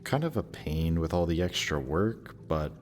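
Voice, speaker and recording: A man's voice: sits low, expressive, normal speed, darkish tone, slight background noise. A man playing a death god's smug, lovestruck young son in a romantic audio roleplay, talking casually and teasingly to the listener. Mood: persuasive, casual.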